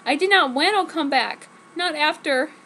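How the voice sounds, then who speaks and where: A woman's voice: sits high, normal speed, bright, forceful tone, a little hiss or hum, voice pushed up. A woman voicing a tormented young male vampire in a fanfiction story, pleading in a plaintive, earnest way.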